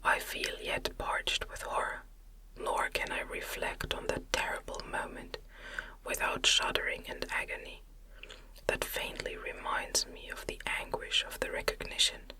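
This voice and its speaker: A woman reading a classic novel aloud in a gentle, soothing, breathy ASMR whisper with careful, unhurried phrasing. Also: low-quality recording.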